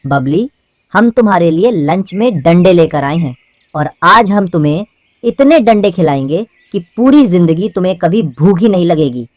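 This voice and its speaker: A woman's voice: lowish voice, expressive, normal speed, darkish tone, no echo. A woman voicing a female bird parent from a forest village in a children's cartoon. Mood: menacing.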